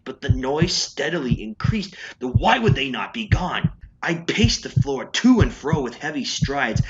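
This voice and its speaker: A man playing an unhinged, cunning murderer in a gothic horror monologue, with a theatrical, nervous, halting delivery. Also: medium pitch, dull audio, very noisy. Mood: anxious, agitated. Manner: theatrical, swooping, halting with stammered repeats. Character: unhinged murderer confessing, cunning, nervous, increasingly frantic madman. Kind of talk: dramatic horror storytelling, classic gothic monologue.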